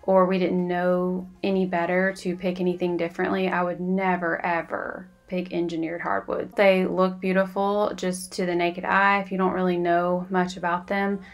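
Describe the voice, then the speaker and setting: A woman's voice: fairly low pitch, little pitch movement, normal speed, hardly any pauses. A woman, chatting casually in a voice-over for her home vlog. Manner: chatty, confiding, says y'all. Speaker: vlogger.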